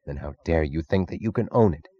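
A male reader acts out an aging, learned doctor, imperious and frantic with scorn. The delivery is highly animated and dry in acoustic, an audiobook character speaking with educated contempt. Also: brisk, no echo, muffled recording. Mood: bitter contempt. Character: old male scientist, proud, indignant, contemptuous, frantic.

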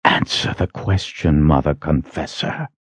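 A man's voice: sits low, lively intonation, moderate pace, mellow, no echo. A man voicing a furious, commanding witch woman in an audiobook, full of accusing menace. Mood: cold menace. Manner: hissing threats, accusing rhetorical questions.

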